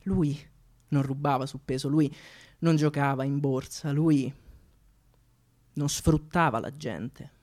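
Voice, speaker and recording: A woman's voice: a bass voice, slow pace, long pauses, exaggerated voice. Woman acting a declamatory, impassioned Roman thief. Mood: solemn, proud. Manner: declamatory, rhetorical, swinging from hushed to shouted. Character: impassioned Roman thief eulogizing a dead comrade. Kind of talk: theatrical monologue, mock funeral oration.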